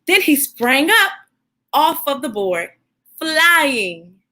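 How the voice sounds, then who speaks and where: Female children's read-aloud host: high, bright voice, expressive teacherly delivery, encouraging toward young listeners. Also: very slow.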